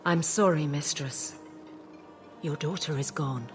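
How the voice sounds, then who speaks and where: A woman's voice: deep voice, takes it slowly, soft background music. A woman playing a stoic warrior in a fantasy game, with a somber, restrained delivery. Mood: somber sympathy. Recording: clear battle ambience, noisy background.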